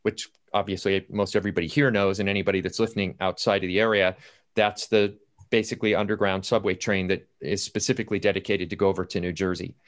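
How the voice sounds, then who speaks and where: Man, mature, low voice, playwright on an online panel, storytelling and quoting himself as he goes. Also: little pitch movement, fast. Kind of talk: anecdotal panel talk about writing. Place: indoors at home, on a video call. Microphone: computer video-call audio.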